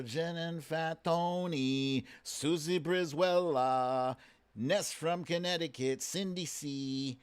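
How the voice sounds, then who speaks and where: A man's voice: a little high, smooth. A man hosting a casual live quiz stream, bantering with viewers in an informal, mildly sweary, teasing way.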